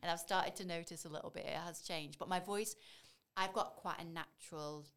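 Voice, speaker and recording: A woman in her thirties with a deep, husky voice, chatting as a voiceover artist on a podcast, bubbly and animated. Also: fairly quick. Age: 30s. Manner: bubbly and animated, laughs often. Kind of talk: casual podcast interview.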